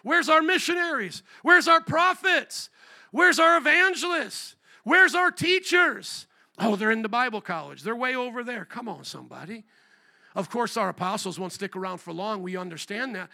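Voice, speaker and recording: Man, pastor, sermon, high voice, bright tone, middle-aged, from Indiana. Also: normal speed, gravelly. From Indiana, USA. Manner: animated preaching, rising to near shouts on rhetorical questions.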